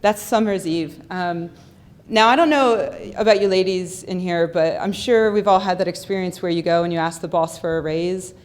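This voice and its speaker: A woman's voice: fairly low pitch, normal speed. A young adult woman giving a humorous, sarcastic talk into a microphone on a lecture hall stage, with plenty of ums and repeated words. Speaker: public speaker. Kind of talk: humorous skeptical conference talk. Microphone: handheld or stand microphone.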